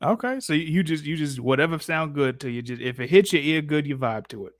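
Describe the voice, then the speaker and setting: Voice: medium pitch, a little fast, no room sound. Dry, close-miked casual Q&A host. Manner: informal, slangy, repeats phrases. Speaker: host taking audience questions. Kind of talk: casual live Q&A chat.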